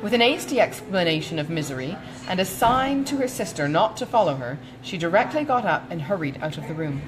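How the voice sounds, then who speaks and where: A woman reading a classic novel as an audiobook narrator, in a measured, expressive storytelling manner. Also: on the low side, background noise.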